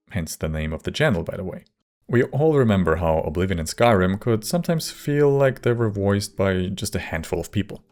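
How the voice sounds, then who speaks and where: A man reading scripted video essay narration in a measured, wry manner. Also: low-pitched, creaky, darkish tone.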